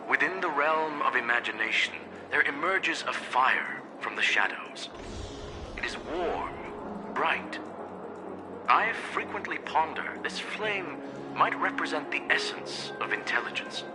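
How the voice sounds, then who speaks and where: Guy doing a refined thinking robot for a video game, mid pitch, very measured and formal. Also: natural voice. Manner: formal, measured, precise wording. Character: courteous, philosophical male robot of refined intellect. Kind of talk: scripted game dialogue.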